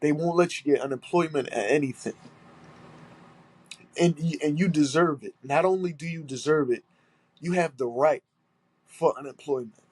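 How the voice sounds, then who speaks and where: A man's voice: a little high, moderate pace, long pauses, darkish tone, breathy edge. A man with a stuffy nose, hosting a solo podcast and talking in an aggrieved, emphatic way, repeating his points and swearing. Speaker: podcast host.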